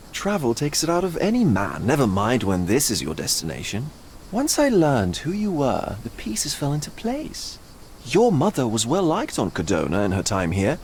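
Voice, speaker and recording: A man's voice: medium pitch, creaky, darkish tone. A genteel, theatrical male art dealer for a game cutscene. His florid, teasing phrases rise and fall dramatically, with a sly, probing undertone. Faint ambient noise sits behind the voice. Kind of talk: video game cutscene acting.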